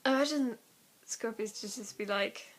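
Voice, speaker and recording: Young female vlogger, giggly and self-effacing, slow casual delivery on the higher side. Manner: giggly, self-deprecating, informal. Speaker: amateur vlogger. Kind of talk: casual rambling chat to camera.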